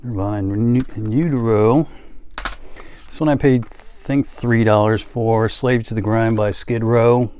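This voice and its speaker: Slow-talking, casual man showing his collection. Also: fairly low pitch, fairly even intonation, mellow, clear, resonant tone. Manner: unhurried, halting delivery with trailing asides. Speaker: music collector and vlogger. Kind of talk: casual show-and-tell chat.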